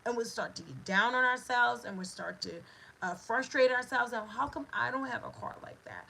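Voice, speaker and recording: A woman's voice: a little high, normal speed, vocal fry, bright, forceful tone, a little hiss or hum. A woman hosting a motivational talk on gratitude, speaking in an emphatic, repetitive, preacher-like cadence. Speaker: host.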